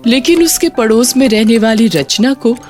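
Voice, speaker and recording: A woman's voice: a little high, lively intonation, moderate pace, darkish tone. A woman narrating a scripted children's story as a storyteller. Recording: music bed under narration.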